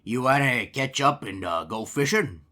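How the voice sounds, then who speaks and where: A man's voice: mid-range voice, lively intonation, moderate pace, bright, forceful tone, pitched above the performer's own voice. A man voicing a jittery, evasive male alligator rockstar who stammers out nervous excuses in a fan-made game.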